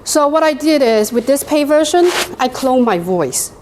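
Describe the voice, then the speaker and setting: A woman with a non-native English accent presents a workshop to a live room. She runs a software demo, talks to the audience directly and laughs often. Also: sits high, lively intonation, normal speed.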